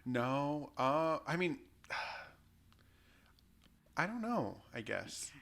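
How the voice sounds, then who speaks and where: A man's voice: fairly high pitch, sing-song, extremely slow, vocal fry, a bit bright. A young man co-hosting a podcast, speaking frankly and self-deprecatingly with hesitant fillers. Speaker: podcast co-host. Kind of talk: casual chat.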